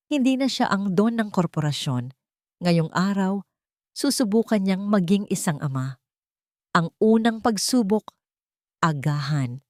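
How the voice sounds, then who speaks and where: A woman's voice: medium pitch, darkish tone, no echo, studio quality. A woman with a Filipino accent reading a scripted, dramatic story as a narrator.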